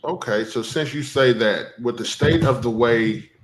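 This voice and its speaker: A man asking interview questions in a measured, deliberate way. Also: medium pitch. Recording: roomy echo, faint noise.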